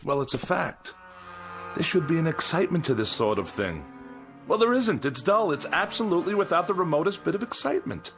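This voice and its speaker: An actor playing a bored, cruel immortal husband in a mid-century American TV drama, with a theatrical, scornful delivery and an American accent. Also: male voice, on the high side, very animated, a little fast, a bit bright. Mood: bored, irritated. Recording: vintage TV soundtrack with music.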